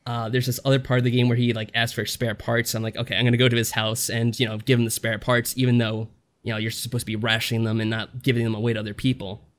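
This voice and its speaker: A man's voice: medium pitch, little pitch movement, quick pace. A man talking casually as a podcast co-host, rambling through anecdotes and acting out little asides. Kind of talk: casual chat reviewing games.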